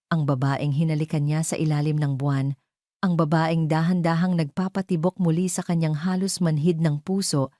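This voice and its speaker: A woman reading a scripted romance drama as its narrator, in a measured, solemn storytelling manner. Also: deep voice, warm tone, no room sound, studio quality.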